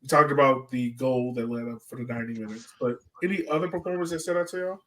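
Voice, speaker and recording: A man's voice: medium pitch, quick pace, fairly bright tone. Male sports podcast host, middle-range voice, informal conversational delivery. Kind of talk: casual sports discussion.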